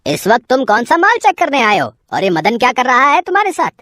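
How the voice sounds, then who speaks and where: A woman's voice: high voice, wildly swooping pitch, brisk, a bit bright, dry recording, studio quality, heavily acted. A woman voicing a stern male shop owner in a cartoon moral story, scolding and commanding. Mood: outraged.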